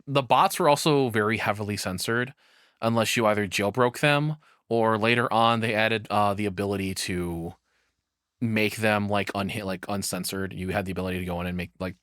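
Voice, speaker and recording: A man's voice: low-pitched, a bit bright, dry recording, studio quality. A man giving casual, off-the-cuff reaction commentary as a streamer, rambling and swearing as he talks.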